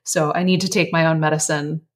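A woman author and life coach, earnest and reflective as a guest, her voice slightly hoarse. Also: low-pitched, flat delivery, brisk. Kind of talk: casual interview chat.

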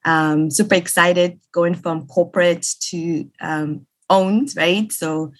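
Woman from Somalia, husky low voice, eager panelist. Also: steady tone, takes it slowly. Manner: eager, warm, many hesitations. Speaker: business executive, panelist. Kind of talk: self-introduction on a panel.

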